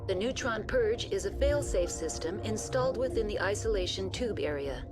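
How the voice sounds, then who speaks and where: Lady playing female ai facility assistant, literal and emotionless, very precise, medium pitch, game music clearly behind. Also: lively intonation, moderate pace, lightly acted. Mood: impassive, informative. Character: literal-minded, impassive female virtual intelligence facility assistant. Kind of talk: video game dialogue.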